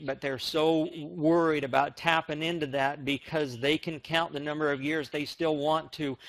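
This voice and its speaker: An American man presenting on a conference call, giving an enthusiastic, emphatic motivational sales pitch. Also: a bit bright, rough recording. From United States.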